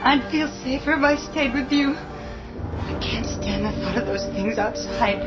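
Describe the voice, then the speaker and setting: A woman's voice: sits high, unhurried. An actress playing a terrified, fragile young woman in a radio horror drama, tremulous and near hysterical. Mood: frightened, fragile. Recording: dull recording with loud music.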